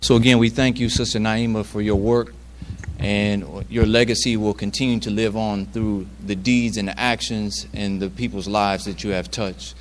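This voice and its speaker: A man hosting an event, thanking and introducing speakers informally in short, paused phrases. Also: lowish voice, fairly even intonation, slight background noise. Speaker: event emcee introducing presenters.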